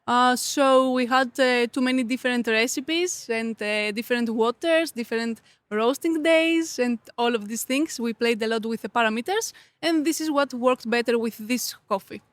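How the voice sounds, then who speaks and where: A woman's voice: a little high, normal speed, hardly any pauses, no echo. A woman from Greece with a Greek accent, a native Greek speaker answering interview questions casually and a little hesitantly in her second language. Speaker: interview guest, coffee competitor.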